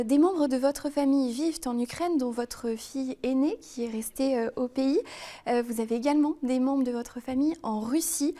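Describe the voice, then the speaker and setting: A woman news presenter introducing and questioning a studio guest in a gentle, measured way. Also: sits high. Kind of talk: evening news interview.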